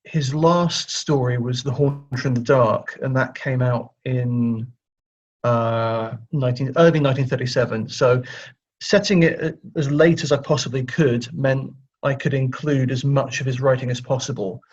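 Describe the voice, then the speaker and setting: Husky-voiced man, slightly muffled recording. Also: medium pitch, steady tone, moderate pace, a little mellow. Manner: chatty, thinking aloud with frequent self-corrections. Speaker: interview guest, audio drama writer. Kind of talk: casual conversational interview answers.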